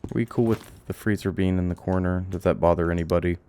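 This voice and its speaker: Male game streamer, low creaky voice, casual and sweary, talks to himself; noisy recording. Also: fairly even intonation, quick pace, mellow. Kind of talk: casual gameplay commentary, thinking aloud. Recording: audible background noise, clicks.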